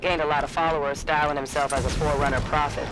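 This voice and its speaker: An actress playing an alert, businesslike futuristic soldier in a video game, reporting in a brisk military manner. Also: female voice, deep voice, steady tone, close to an everyday voice. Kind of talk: video game cutscene acting. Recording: loud music and battle noise behind.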